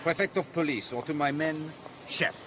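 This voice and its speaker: An actor playing a proud, pedantic French police prefect with a French accent and dramatic foreign phrasing in a radio play. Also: male voice, fairly high pitch, moderate pace, noisy background, close to an everyday voice. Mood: proud, intense. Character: proud, theatrical French police prefect hunting a master thief.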